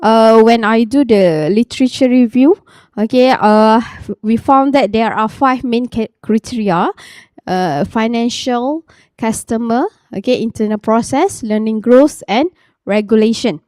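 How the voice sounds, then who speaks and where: A Malaysian-accented woman researcher giving a conference talk, halting, full of fillers, restarts and repeated "okay". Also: a little high, darkish tone, no echo.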